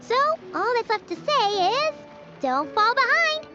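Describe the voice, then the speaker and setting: A woman's voice: very high-pitched, big pitch swings, measured pace, exaggerated voice. A woman voicing a tiny, cheerful, fairy-like girl companion in a video game, bubbly and a little boastful. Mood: cheerful, eager. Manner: bubbly, boastful, speaks of herself in third person. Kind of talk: video game character dialogue. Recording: dull game stream audio under music.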